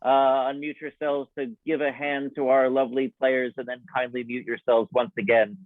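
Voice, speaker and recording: A man's voice: mid-range voice, level tone, normal speed, a little hiss or hum. A man announcing as host of a live online radio show, folksy and showman-like, repeating phrases for emphasis. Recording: phone-like, thin narrow-band sound.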